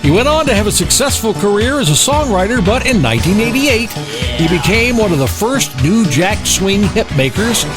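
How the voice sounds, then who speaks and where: A man hosting a music radio show in DJ patter, chatty and given to joking asides. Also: high voice. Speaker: radio host. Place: home studio. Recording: music bed under the voice.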